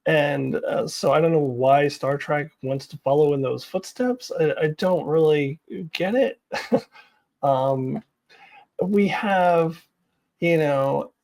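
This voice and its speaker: A woman's voice: low voice, slow, a little mellow. A woman giving casual, opinionated commentary as a vlog host, with a wry, blunt, exasperated and giggly manner.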